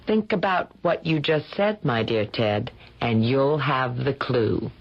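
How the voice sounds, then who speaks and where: An actress playing a shrewd, playful married woman chasing a mystery, teasing and deliberate, in an old-style radio drama. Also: extremely low pitch, expressive, noisy background, exaggerated voice. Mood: coy, deliberate. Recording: dull, lo-fi radio drama recording.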